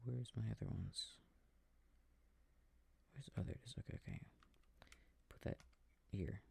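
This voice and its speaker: A man playing an affectionate boyfriend in an intimate ASMR sleep-aid roleplay, murmuring and rambling softly with a breathy voice, close to the microphone. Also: on the low side, a little slow, exaggerated voice. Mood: absorbed, mildly puzzled. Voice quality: soft, husky, breathy. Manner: murmured, rambling, gently bemused.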